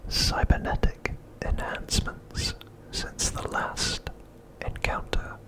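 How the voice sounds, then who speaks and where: An ASMR host giving an unscripted show-and-tell commentary in a breathy, unvoiced whisper, hushed and unhurried. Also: low-quality recording.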